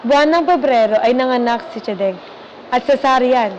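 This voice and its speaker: A woman's voice: high voice, moderate pace, muffled recording. A Filipino woman reading scripted narration for a radio drama. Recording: faint background noise.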